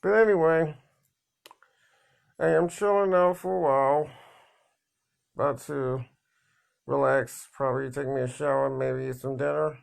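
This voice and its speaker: Man vlogger, slow halting delivery, fairly high voice, blunt and plain, lots of restarts. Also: fairly even intonation, vocal fry. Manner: halting, repeats words, many fillers. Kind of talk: casual rambling monologue.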